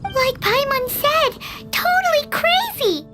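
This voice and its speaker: A woman voicing a tiny, cheeky, childlike fairy companion with a squeaky voice in a video game. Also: expressive, slow pace, loud music behind the voice, heavily acted. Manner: refers to herself in third person, exclaiming.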